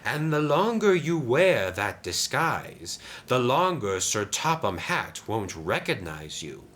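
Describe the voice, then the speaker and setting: A man's voice: medium pitch, big pitch swings, slow pace, a bit bright, pitched above the performer's own voice. A man voicing a cross, scheming male diesel engine in a children's story, curt and sly.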